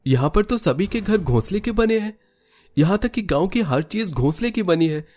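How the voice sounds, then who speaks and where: A man's voice: high voice, lively intonation, normal speed, a little mellow, soft background music. A man voicing an astonished, curious young village visitor in a Hindi cartoon story, exclaiming and questioning in wonder. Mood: amazed, puzzled. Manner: exclamatory, wide-eyed questioning.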